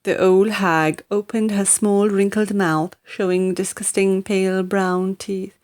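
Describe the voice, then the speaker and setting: Slow, dramatic woman storyteller. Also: mid-range voice, level tone, a little mellow. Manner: deliberate, dramatic. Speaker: audiobook reader. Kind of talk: expressive scripted storybook narration.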